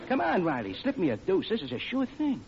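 An actor playing a sly, slangy racetrack tout, wheedling in an old radio comedy. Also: male voice, very high voice, full character voice. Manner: conspiratorial, wheedling. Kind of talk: 1940s radio comedy acting. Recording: muffled old radio recording with noise.

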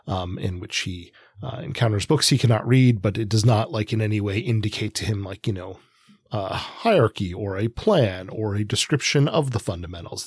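A man co-hosting a podcast, giving conversational analysis in a hesitant, measured way, thinking aloud as he goes. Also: fairly low pitch, breathy edge, dry recording, clean studio sound. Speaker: podcast co-host, comics expert.

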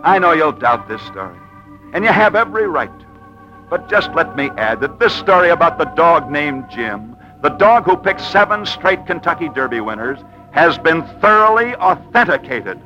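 A muffled old radio recording features a male host with a bright, forceful voice set fairly high. He narrates theatrically and emphatically, with lively swings in pitch and dramatic pauses in 1940s style. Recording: muffled old radio broadcast, faint music.

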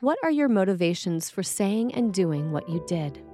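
A woman reading a scripted sermon in a measured, deliberate way. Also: fairly low pitch, sing-song, warm tone, faint music behind. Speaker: sermon reader.

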